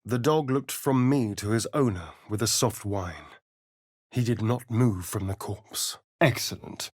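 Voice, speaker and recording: An actor playing a sardonic, foppish vampire spawn rogue in a video game, with an arch, theatrical, disdainful drawl. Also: male voice, medium pitch, measured pace, darkish tone, no echo, clean studio sound, lightly acted. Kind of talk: video game voice acting, companion dialogue and narration.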